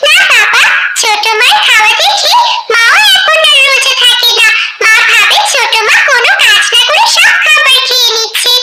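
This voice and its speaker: A little cartoon girl with a tiny, extremely high voice and lively intonation. She is clever, sweet and pious, over a noisy background. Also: normal speed. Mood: tattling.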